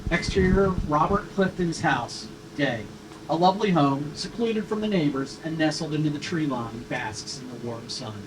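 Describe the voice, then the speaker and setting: A man narrates a screenplay, reading out the stage directions in scripted fashion. His voice is a bit higher than most men's. He sits some way from the microphone, with faint noise in the room. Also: animated, moderate pace, recorded from a distance. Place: room at a live table read.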